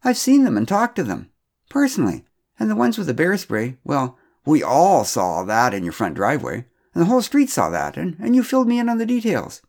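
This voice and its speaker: A man's voice: a little high, wildly swooping pitch, brisk, a little mellow, pitched above the performer's own voice, exaggerated voice. A man voicing an upset local woman who stammers and sounds on the edge of tears. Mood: upset, pleading. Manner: stammering, tearful.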